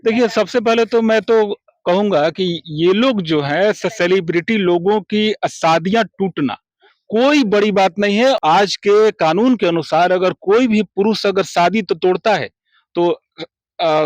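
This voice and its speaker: A local man giving his opinion in a street interview, halting and often stumbling on the start of words. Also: sits high, no echo. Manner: halting, stammering on word starts.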